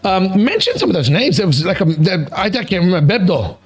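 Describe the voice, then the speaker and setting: A man's voice: high-pitched, sing-song, fairly quick, breathy edge. A middle-aged man hosting a podcast, chatting enthusiastically and often repeating words as he restarts. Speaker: podcast host. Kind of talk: casual chat.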